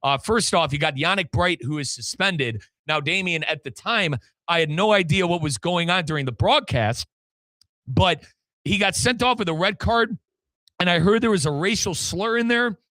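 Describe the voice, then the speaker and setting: A man's voice: sits high, moderate pace, sharp tone, no echo, low-quality recording. A man hosting sports radio, talking soccer in an emphatic, opinionated way. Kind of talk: animated soccer radio talk.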